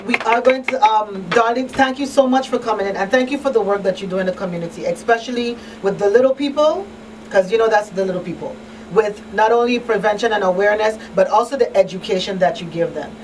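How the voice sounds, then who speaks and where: A woman's voice: medium pitch, fast, a little mellow. A woman hosting a talk show in a conversational, emphatic and plain-spoken way, repeating key phrases. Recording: distant mic, faint background noise.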